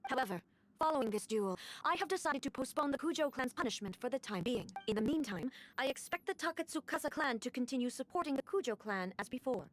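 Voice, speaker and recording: An actress voicing a stern, composed female ruler in a video game, speaking formally and with measured command. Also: high voice, animated, a bit bright. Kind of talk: scripted video game voice acting. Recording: game dialogue over background music.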